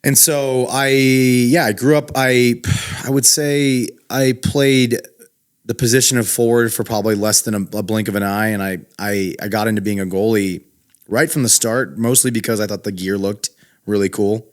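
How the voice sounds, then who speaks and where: Laid-back man from Texas, lowish voice. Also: steady tone, normal speed. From Houston, Texas. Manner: laid-back, rambling with many ums and restarts. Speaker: podcast guest, DJ. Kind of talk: casual chat.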